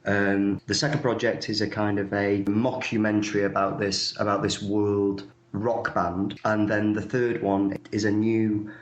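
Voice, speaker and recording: A man in his thirties with a fairly deep voice, recorded with noticeable room echo. He talks casually as an actor being interviewed, feeling his way through sentences with repeats and modest asides. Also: level tone, a little slow, few pauses, dull audio. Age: 30s. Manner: hesitant, self-effacing, often repeating words.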